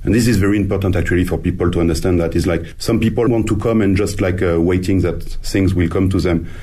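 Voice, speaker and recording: Very deep-voiced earnest man. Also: quick pace, dark tone, husky. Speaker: interview guest, business network member. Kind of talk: casual interview talk.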